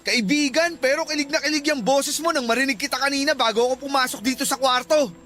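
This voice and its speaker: A man's voice: very high-pitched, steady tone, quick pace, sharp tone. A man playing a hurt, suspicious boyfriend in a Filipino radio drama, insistent and earnest, with a Filipino accent.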